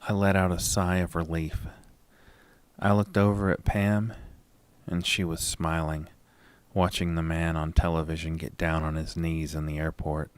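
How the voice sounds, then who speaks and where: A man playing an anxious, haunted narrator in a first-person horror audio drama, deliberate and suspenseful. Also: low-pitched, level tone, clear, resonant tone, no echo, natural voice. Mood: tense dread.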